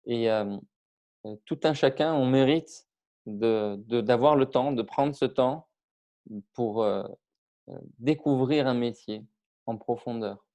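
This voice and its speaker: A man's voice: medium pitch, slow pace, long pauses, mellow. A middle-aged man from Iran, a public speaking trainer, talking casually with many hesitations and restarts. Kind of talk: casual interview on video call.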